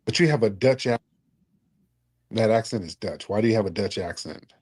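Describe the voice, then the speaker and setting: A man's voice: on the low side, moderate pace, a little mellow, slightly rough, no echo. A man hosting a live call-in stream, questioning callers bluntly and skeptically. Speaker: live show host. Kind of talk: casual live-stream chat.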